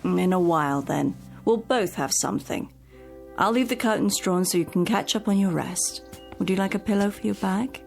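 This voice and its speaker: A woman's voice: mid-range voice, normal speed, creaky, darkish tone, soft background music, natural voice. A woman playing a protective private nurse in a radio drama, firm and solicitous in manner.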